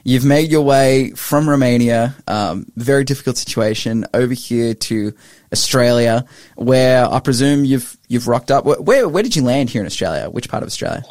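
A man's voice: medium pitch, lively intonation, moderate pace, darkish tone, no room sound. Upbeat male radio host, dry studio. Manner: upbeat, chatty, many restarts. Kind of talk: radio interview.

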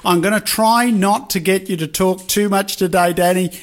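Radio host, higher voice. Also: male voice, normal speed. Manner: relaxed, rambling with fillers.

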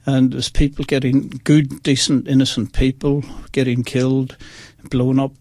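A man's voice: medium pitch, flat delivery, moderate pace, a little mellow, no room sound. A man in his sixties with a Northern Irish accent, telling his life story as a radio guest in an earnest, chatty way. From Northern Ireland. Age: 60s. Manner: chatty, earnest, addresses listeners directly. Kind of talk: personal testimony, casual storytelling.

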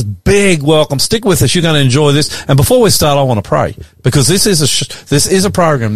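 A man's voice: a little high, dry recording, clean studio sound. Chatty Australian man hosting radio. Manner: enthusiastic, chatty, quick to laugh. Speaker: radio host and pastor. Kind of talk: radio talk show chat.

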